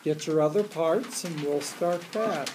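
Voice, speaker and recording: A woman's voice: sits low, a little slow, background noise. A woman speaking as a teacher, giving directions and encouragement to a group of performers. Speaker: teacher directing a readers theater.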